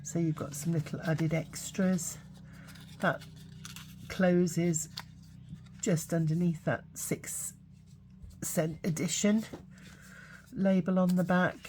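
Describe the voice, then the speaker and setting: Hoarse woman host with a cold, low mellow voice, relaxed chatty delivery full of fillers, faint hum behind. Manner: chatty, halting, many fillers. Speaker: craft channel host. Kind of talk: casual craft flip-through.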